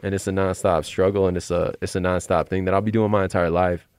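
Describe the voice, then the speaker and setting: Deep-voiced American man, reflective casual talk. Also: level tone, darkish tone, clean tone, no echo. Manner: informal, halting, filler "like" and "you know what I mean".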